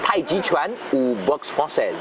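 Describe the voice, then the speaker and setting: A man's voice: a little high, lively intonation, unhurried. A man interviewing a guest on a city street, asking short, friendly questions. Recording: street noise behind.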